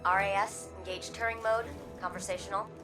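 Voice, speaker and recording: An actress playing an earnest, loyal woman in a video game, speaking gently and sincerely to a companion. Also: mid-range voice, fairly even intonation, brisk, lightly acted. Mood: earnest, tender. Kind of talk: scripted video game dialogue. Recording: game music behind.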